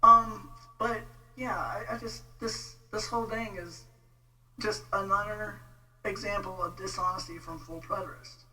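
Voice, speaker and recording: A Texan man with a fairly high, very sharp and bright voice rants casually on a livestream. His delivery is halting, informal and exasperated. He sounds a little away from the mic, with faint noise and hum behind him. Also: steady tone, distant mic, low-quality recording. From Texas, United States. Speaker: vlogger, religious debate commentator. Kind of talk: casual livestream rant replying to viewer comments.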